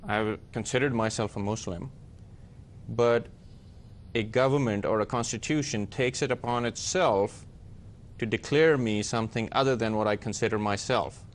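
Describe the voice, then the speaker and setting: A man from Pakistan speaking as a panelist, explaining and reflecting deliberately, phrase by phrase. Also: on the low side. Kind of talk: personal reflection in a discussion.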